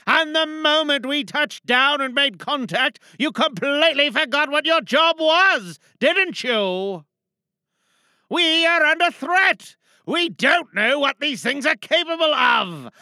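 Woman acting a high, intense, paranoid spaceship captain. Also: pushed and bright, clean tone, no room sound, studio quality, exaggerated voice. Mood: bitter, accusing, then alarmed, commanding. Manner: pleading, commanding, theatrical outbursts. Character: stern, paranoid female spaceship captain, desperate and commanding. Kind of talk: dramatic monologue.

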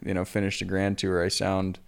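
Young adult male pro cyclist, podcast guest; low gravelly voice, easygoing and self-deprecating. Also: a little fast. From US Pacific Northwest. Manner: relaxed, rambling, self-deprecating. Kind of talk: casual podcast conversation.

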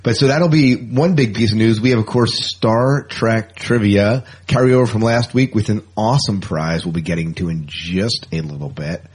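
A man with a lowish, rough-edged voice hosts a casual fan podcast. He rambles along in a jokey way, repeating words for emphasis and chatting like a friend. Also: steady tone, normal speed, few pauses, darkish tone. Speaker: podcast co-host.

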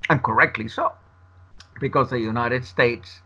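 A man whose first language is Spanish gives a long interview answer, arguing in a measured, emphatic way. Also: medium pitch, pushed and bright, husky. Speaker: interview guest. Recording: dull lo-fi recording.

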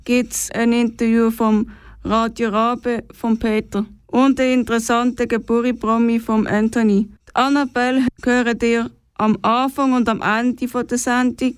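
A woman's voice: fairly high pitch, clean tone, no echo. A woman with a Swiss German accent hosting a radio show and giving a scripted introduction. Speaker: radio host.

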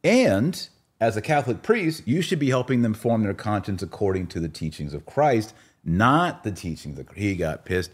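A man's voice: on the low side, very animated, moderate pace, breathy edge. A man hosting a podcast, telling stories in a casual, informal way and acting out both sides of remembered conversations. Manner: informal, recounts dialogue in quotes. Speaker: podcast host.

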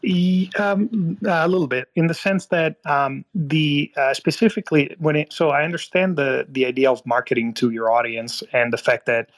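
A man from Italy calling in to a live audio room, talking in a halting way with many fillers and restarts. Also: fairly high pitch, fairly even intonation. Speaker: caller. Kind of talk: casual political discussion.

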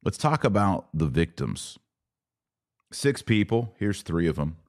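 A man hosting a podcast, giving solo commentary on the news in an earnest, emphatic way with short, deliberate phrases. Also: sits low, very animated, a little mellow.

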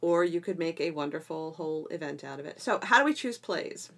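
A woman hosting a casual educational podcast, chatting in an animated way and quick to laugh. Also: low voice. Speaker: podcast host and homeschool teacher.

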